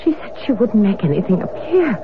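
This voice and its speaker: Animated, anxious housewife. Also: female voice, mid-range voice, a little fast. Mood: frightened, hushed. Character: anxious, curious female homeowner. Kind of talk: vintage radio drama acting. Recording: muffled, hissy old radio broadcast.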